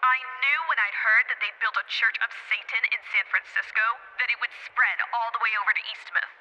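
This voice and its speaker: A man's voice: extremely high pitch, fairly even intonation, a little fast, sharp tone, dull audio, heavily acted. A man voicing an indignant, preachy older churchgoing woman calling in to a radio show. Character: shrill outraged old church lady caller.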